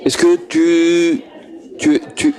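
A man's voice: a little high, monotone, very slow, a little mellow. A man interviewing children at a homework-help group on a handheld mic. He stammers, repeats words and chuckles often. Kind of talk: friendly questioning of children. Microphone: handheld microphone passed around.

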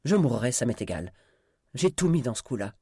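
A man's voice: mid-range voice, expressive, a little fast, darkish tone, husky, no echo, studio quality. A man reading an audiobook, voicing a desperate, defiant male villain with a brutal, commanding, hot-tempered manner. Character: desperate, defiant man threatening with a deadly virus spray.

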